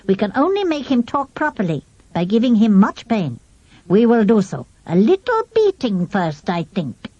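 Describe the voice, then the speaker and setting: A woman voicing a cruel, pale, thin-lipped man who talks low, slow and menacing, in a storybook reading. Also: big pitch swings, warm tone, no echo. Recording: dull lo-fi dry audio.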